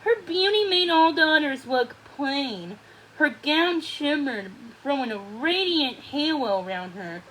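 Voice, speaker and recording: High-voiced woman reading a storybook. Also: expressive, unhurried, fairly bright tone, slight background noise, rough recording. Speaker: story reader. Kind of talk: scripted storybook narration.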